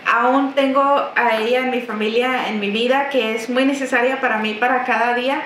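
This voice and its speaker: A woman, chatting warmly as an interview guest in an office. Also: fairly high pitch, fairly even intonation, normal speed. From Texas–Mexico border. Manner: chatty, warm, laughs often. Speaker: business owner guest. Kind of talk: interview answers. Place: office, seated at desk. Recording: roomy office with faint noise.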